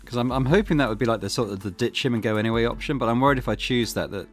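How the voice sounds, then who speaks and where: A man's voice: mid-range voice, rapid-fire. A man hosting a let's play, giving casual, wry commentary and reading game narration aloud. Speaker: gaming video host. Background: game music.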